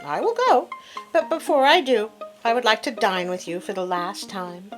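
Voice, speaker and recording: A woman voicing a clever, devoted nobleman's wife in a storybook, composed and measured. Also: medium pitch, music in the background, close to an everyday voice. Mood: calm, loving.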